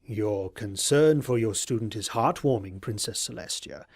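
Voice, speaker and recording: A man voicing a scheming, falsely sympathetic unicorn advisor in an audio drama, speaking in a formal, deliberate, courtly manner. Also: lowish voice, gravelly, a little mellow, slightly rough. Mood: grave, persuasive. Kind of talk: dramatized audio fiction reading.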